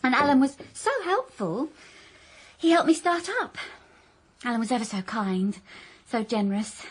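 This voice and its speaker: A woman's voice: a little high, expressive, normal speed, husky. A gushing former girlfriend. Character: Judy Page, gushing dog-walking ex-girlfriend. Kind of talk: radio drama acting.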